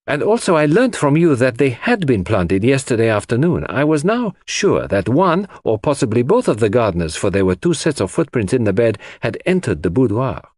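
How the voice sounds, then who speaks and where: Man voicing a shrewd, courteous detective, lively pitch. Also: normal speed, hardly any pauses, no room sound, clean studio sound. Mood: confident, explanatory. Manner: formal, precise, explanatory. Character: shrewd, courteous male foreign detective, fond of theories. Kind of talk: audiobook character dialogue.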